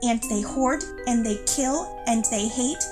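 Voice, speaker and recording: Sci-fi audio play. Dismayed alien assistant played by a woman, slow dramatic phrasing, loud music underneath. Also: on the high side, lightly acted. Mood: dismayed, grave. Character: earnest, dismayed alien underling observing humanity.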